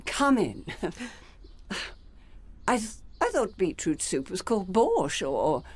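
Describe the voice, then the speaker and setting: An actress playing a fussy, genteel older widow and retired teacher who gets flustered and stammers, in a radio play. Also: female voice, mid-range voice, huge pitch swings, slow pace, slightly rough, no room sound, full character voice.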